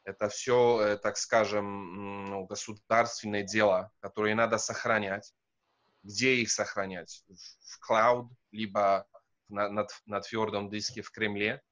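Non-native man, 30s, professor guest; low-pitched, dull call audio, hesitant delivery. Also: little pitch movement, a little slow, fairly bright tone. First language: not Russian. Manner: hesitant, many fillers and restarts. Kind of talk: video call interview. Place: wood-panelled room indoors. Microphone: video call.

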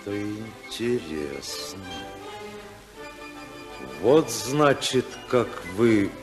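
An actor playing a principled, defiant congressman in a 1950s radio play, speaking in a measured, ironic, indignant way. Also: fairly low pitch, animated. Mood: wary, ironic. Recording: 1955 radio recording, faint music.

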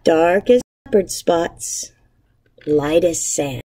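A woman in her thirties, an elementary teacher reading a picture book aloud to children with measured, expressive storybook phrasing. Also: lowish voice. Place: indoors, empty school classroom.

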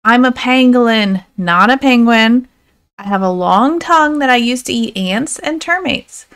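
Woman voicing an insistent, earnest pangolin, high-pitched. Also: lively intonation, unhurried, fairly bright tone, smooth. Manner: insistent, correcting. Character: exasperated, earnest pangolin trying to explain himself.

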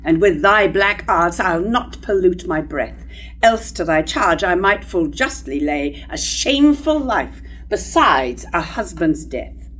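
A woman in her sixties playing a haughty, indignant high-born queen, declaiming stately verse in a staged reading of a tragedy. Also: animated, slow pace, a bit bright, slight background noise. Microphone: stand microphone at lectern.

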